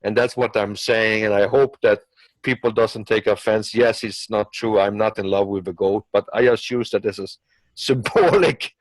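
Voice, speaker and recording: A man's voice: lowish voice, moderate pace, no room sound, rough recording. A man who is not a native English speaker, arguing his opinions in an unscripted discussion in a rambling, repetitive way. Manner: rambling, repetitive argument with hypothetical examples. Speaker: panelist.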